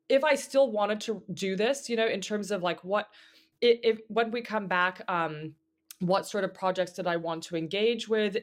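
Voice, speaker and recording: Woman guest, casual and reflective, fills pauses, restarts sentences. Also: on the low side. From Hong Kong. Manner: thoughtful, many fillers and restarts, laughs easily. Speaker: guest, mezzo-soprano. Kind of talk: casual interview chat.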